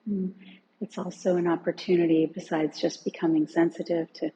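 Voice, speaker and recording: A woman teacher leading a guided relaxation in a gentle, unhurried way, leaving long pauses between phrases. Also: lowish voice, little pitch movement, a little hiss or hum, low-quality recording. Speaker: meditation and yoga teacher.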